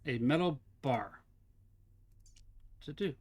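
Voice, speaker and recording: A male streamer in a mid-pitched voice talks casually through a puzzle game. He thinks aloud as he picks up objects and chats with his viewers. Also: expressive, slow pace, a little mellow, slightly rough. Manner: chatty, thinks aloud while solving puzzles. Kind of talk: casual live gameplay commentary.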